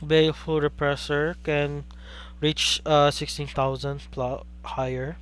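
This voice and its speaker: A young man with a Filipino accent calls a card game live, his voice pitched a little above the usual for a man. He gets excitable and keeps asking rhetorical questions, now and then greeting viewers in chat. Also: takes it slowly, a little hiss or hum. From Philippines. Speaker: caster for a card game stream. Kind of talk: live play-by-play commentary.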